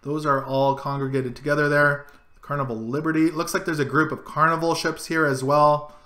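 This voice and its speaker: A man's voice: on the high side, little pitch movement, moderate pace, pushed and bright, reverberant. A middle-aged man casually talking through a screen-share tutorial, guiding each click and zoom step by step. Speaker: travel vlogger. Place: home room, indoors.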